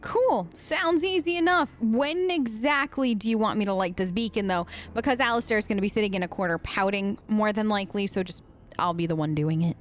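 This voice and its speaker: A woman's voice: mid-range voice, fairly quick, a little hiss or hum. A young woman streaming a role-play playthrough, chatty and playful, answering the game characters in her own voice. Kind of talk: casual role-play gameplay commentary.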